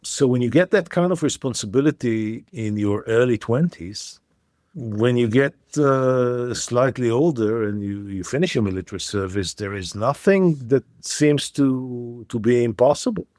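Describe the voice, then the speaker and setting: A man's voice: mid-range voice, lively intonation, unhurried, darkish tone, dry recording, clean studio sound. A man in his fifties from Israel, a founder being interviewed on a podcast, who talks with many fillers and restarts. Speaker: guest, startup founder.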